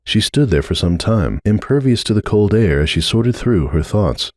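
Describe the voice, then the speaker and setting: A man's voice: on the low side, lively intonation, moderate pace, a little mellow, no room sound, studio quality. A man narrating a story as a dramatic audiobook reading.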